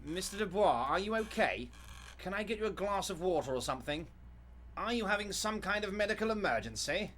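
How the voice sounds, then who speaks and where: Smug, patronizing union boss. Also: male voice, sits high, animated, a little fast, a bit bright. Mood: mock concern. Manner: falsely soothing, patronizing. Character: large smug scheming union boss man.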